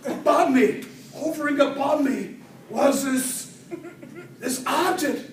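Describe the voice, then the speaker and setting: A man delivering a humorous tall-tale speech to an audience in a theatrical way, with dramatic pauses and swells. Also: very high voice. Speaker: speech contest storyteller at a Toastmasters club. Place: indoor room with an audience. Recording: roomy, distant mic, faint noise.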